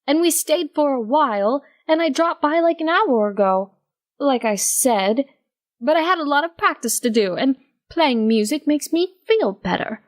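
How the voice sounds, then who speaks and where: A woman acts out a young female cartoon pony musician for an audio story. She sounds high-pitched, fussing and exasperated. She insists on her point and scolds like a worried friend. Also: lively intonation, normal speed. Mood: flustered.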